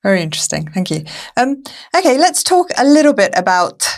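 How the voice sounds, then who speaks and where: A woman's voice: a little high, sing-song, brisk. A woman hosting a podcast, casually moderating a discussion. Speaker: podcast host.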